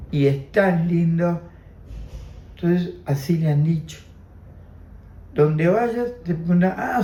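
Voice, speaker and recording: A man's voice: fairly high pitch, dark tone, echoey room, lo-fi sound, a little hiss or hum. A man in his seventies with an Argentine Spanish accent, Armenian as his first language, giving an oral history testimony, reflective and repeating his phrases. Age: 70s. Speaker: interviewee.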